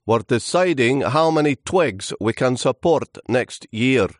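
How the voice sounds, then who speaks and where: A man's voice: medium pitch, lively intonation, a little slow, no echo, clean studio sound, voice pushed up, close to an everyday voice. A man voicing bossy, irritable twigs and branches in a children's story, snappish and dismissive.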